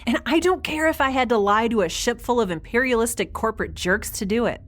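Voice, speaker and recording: A woman's voice: a little high, fairly quick, natural voice. A woman playing a defiant, sarcastic young space station resident in an audio drama, with a flippant, casual delivery. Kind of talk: scripted audio drama acting.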